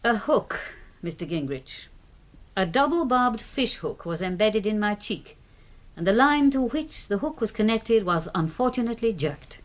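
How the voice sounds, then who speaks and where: Woman acting a dry, lively British lady doctor. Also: medium pitch, normal speed, close to an everyday voice. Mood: dry, wry. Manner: dry, articulate, ironic. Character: composed, dryly sardonic British woman doctor. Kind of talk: radio drama acting.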